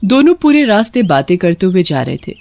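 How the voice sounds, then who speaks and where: A woman narrating a scripted horror story in a steady storytelling voice. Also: fairly quick, dry recording, muffled recording. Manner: steady storytelling, dropping lower for eerie moments.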